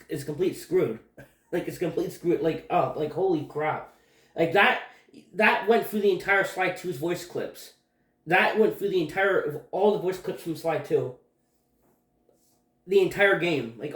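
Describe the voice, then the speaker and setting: A man giving casual, unscripted gaming commentary, rambling and repeating himself as he stumbles over his words. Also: high-pitched. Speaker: video game YouTuber. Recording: echoey room.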